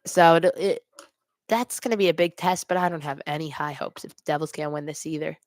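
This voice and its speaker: A woman's voice: low voice, a little fast, dry recording, studio quality. A woman hosting a live hockey watch party, giving amateur play-by-play mixed with exasperated fan asides.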